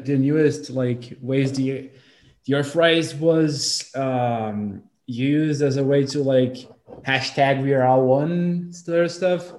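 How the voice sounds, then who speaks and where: Brazilian guy talking in a group, lots of uh and um, bit high voice, echoey room. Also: a little mellow. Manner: halting, many fillers and restarts. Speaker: discussion participant. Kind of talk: casual group discussion.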